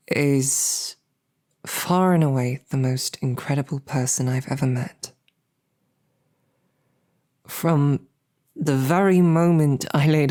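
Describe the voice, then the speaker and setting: An actress playing a smitten lowborn royal guard in an audio roleplay, with a halting, self-deprecating, earnest way of speaking. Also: female voice, warm tone, heavily acted. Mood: adoring, earnest. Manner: halting, self-deprecating, colloquial tag questions. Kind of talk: scripted audio roleplay, halting heartfelt banquet speech.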